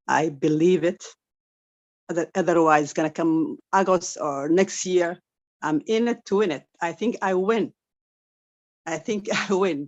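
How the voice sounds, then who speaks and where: Earnest woman, lo-fi call. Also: on the low side. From Somalia, raised in Saudi Arabia. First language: Arabic. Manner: halting, restarts often, grateful and earnest. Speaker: caller sharing a testimonial. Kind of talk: emotional testimonial on a video call.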